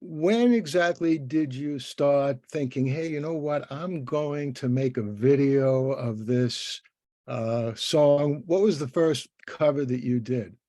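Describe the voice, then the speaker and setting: A man's voice: medium pitch, slow pace, dark tone, no room sound, clean studio sound. An older man hosting a conversational interview, speaking in an effusive, rambling way. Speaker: interviewer and host, professor.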